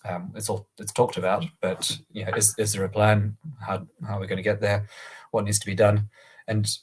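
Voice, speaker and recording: A man with a cold, sounding croaky, giving a hesitant online presentation full of ums and restarts over a video call. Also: on the low side, little pitch movement, moderate pace, rough recording.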